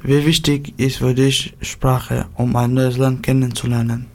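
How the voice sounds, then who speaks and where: Flat-voiced young interviewer. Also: male voice, mid-range voice, a little slow. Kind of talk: scripted interview questions.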